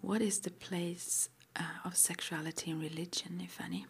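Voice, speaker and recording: Whispered ASMR roleplay: woman as gentle, reassuring witch school interviewer, unhurried, lowish and creaky, faint background noise. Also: fairly even intonation. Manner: soft, unhurried, reassuring, with murmured acknowledgements.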